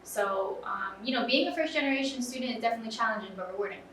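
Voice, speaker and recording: A woman's voice: on the high side, rapid-fire, a bit bright, far from the mic, slight background noise. A young woman student answering interview questions in an earnest, casual way, with many "um"s and "you know"s. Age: young adult. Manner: earnest, filler-heavy with frequent "um" and "you know". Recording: roomy, off-mic with faint ambience.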